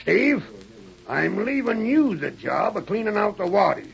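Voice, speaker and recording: A man playing a domineering, angry outlaw gang boss in an old radio western, barking threats in rough American cowboy speech with a gravelly voice. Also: sits high, big pitch swings, measured pace, heavily acted. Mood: commanding. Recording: dull, lo-fi old radio recording.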